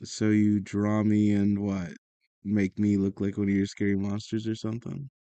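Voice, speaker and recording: A woman's voice: extremely low pitch, flat delivery, normal speed, mellow, no echo. A woman playing a guarded but caring, wry teenager in an audio drama, speaking in a casual, understated, teasing way.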